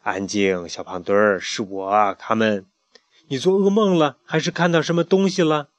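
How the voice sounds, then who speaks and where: A man voicing a gentle, caring hen in a bedtime storybook, soft and soothing. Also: fairly high pitch, brisk, no room sound, highs cut off, pitched above the performer's own voice, natural voice.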